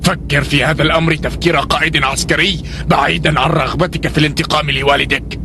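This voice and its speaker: A man's voice: a little high, steady tone, quick pace, background noise, close to an everyday voice. A man voicing a loyal, cautious officer who earnestly argues with his hot-headed commander in a dubbed cartoon. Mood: reasoning. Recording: background score under voice.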